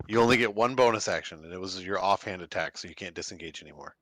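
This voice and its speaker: Man, bright forceful low voice, casual table chat, game player. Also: fast.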